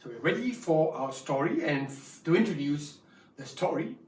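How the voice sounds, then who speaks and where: A man telling a story to an audience in a playful, lively manner, leading them in movement games before the tale. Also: fairly high pitch, normal speed, background noise. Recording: echoey room, distant mic, guitar music.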